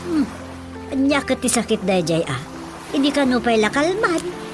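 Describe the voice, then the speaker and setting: A middle-aged Ilocano village mother in a radio drama, talking in a fairly high voice. She is superstitious and tells her tales expressively and conversationally. Music plays clearly underneath. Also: sing-song, moderate pace. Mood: skeptical, concerned. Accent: Filipino, Ilocano. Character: chatty superstitious village mother who believes in folk healing.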